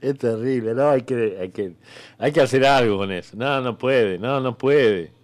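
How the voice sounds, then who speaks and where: A man's voice: mid-range voice, unhurried, no echo, lightly acted. A man with an Argentine accent acting in a comic radio sketch as an evasive, bureaucratic God, casual, hesitant and a little condescending. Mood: exasperated. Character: evasive, bureaucratic God at eternity's front desk.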